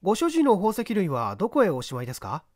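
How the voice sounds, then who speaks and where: A man voicing a courteous, self-assured young newspaper reporter with a brisk polite manner in a mystery audiobook. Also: high voice, huge pitch swings, darkish tone, no room sound, clean studio sound, pitched above the performer's own voice, heavily acted. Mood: politely probing.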